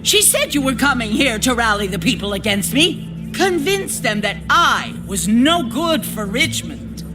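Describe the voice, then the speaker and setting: Slow, high-voiced, ruthless leader. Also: female voice, very animated, over music. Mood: coolly menacing. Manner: theatrical, menacing, mock-sincere. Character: cold, ruthless woman leader of a survivor town. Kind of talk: dramatic video game acting.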